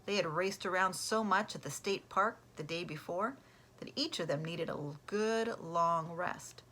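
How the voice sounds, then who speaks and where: Lady teacher doing storytime, bright voice, slightly husky, really expressive. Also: on the low side, unhurried. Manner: animated, acts out character voices. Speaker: elementary school teacher reading aloud. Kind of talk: expressive read-aloud of a children's storybook.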